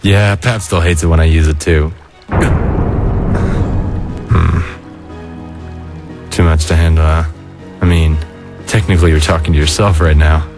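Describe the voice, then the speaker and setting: A man in an animated comic dub playing a weary, laid-back adult skeleton, brooding yet kind, with a low-key, reflective drawl. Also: measured pace, long pauses, music in the background. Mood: weary, guarded.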